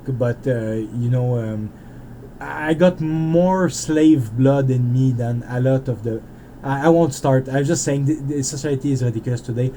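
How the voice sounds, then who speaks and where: Rambling French Canadian man, mellow voice. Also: mid-range voice, measured pace, a little hiss or hum. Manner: rambling and self-interrupting, with frequent restarts. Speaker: indie game developer. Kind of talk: rambling casual monologue.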